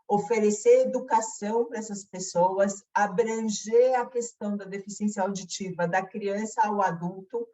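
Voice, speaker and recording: A woman's voice: mid-range voice, steady tone, normal speed, few pauses, mellow. Brazilian woman presenting, distant from the mic. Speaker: company presenter. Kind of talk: explanatory company presentation.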